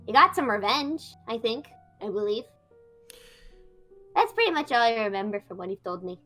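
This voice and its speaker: A woman with a bright, somewhat high voice plays a reformed fire mage, hesitant and stumbling over repeated words. Faint music sits behind her. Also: big pitch swings, normal speed. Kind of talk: improvised character roleplay.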